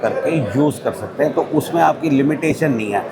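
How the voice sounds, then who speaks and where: A man's voice: medium pitch, expressive, normal speed, gravelly. A man speaking as a distributor, explaining technical details informally and switching often between Hindi and English. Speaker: product distributor, interview guest. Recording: background crowd chatter.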